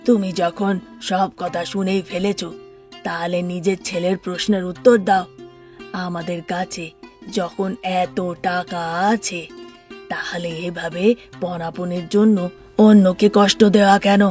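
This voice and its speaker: A woman's voice: lowish voice, level tone, slow, darkish tone, faint music behind, voice pushed down. A woman voicing a mild, henpecked middle-aged father in a cartoon story, speaking in a resigned way. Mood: mild reproach.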